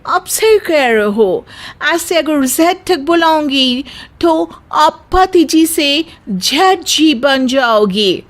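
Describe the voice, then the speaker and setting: A woman's voice: sits high, expressive, takes it slowly. A woman voicing a cheeky, naive young city bride with a pert, self-assured manner in a comic cartoon. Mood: playful. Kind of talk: Hindi cartoon story acting.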